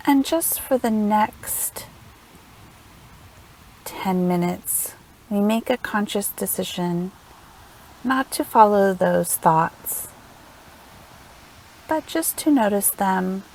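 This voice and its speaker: A woman leading a guided meditation in a gentle, soothing manner, unhurried and leaving long pauses between phrases. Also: mid-range voice, lively intonation, vocal fry, slight background noise, poor audio. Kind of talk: guided meditation, slow instructional narration.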